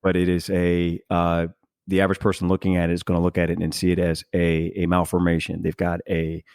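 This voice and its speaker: A man with a deep voice talks in a very dry acoustic as a podcast host. He feels his way through each thought in a casual, reflective style, earnest and emphatic, often stopping to find the next word. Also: monotone, darkish tone, clean tone, dry recording. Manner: halting, searching for words, earnest.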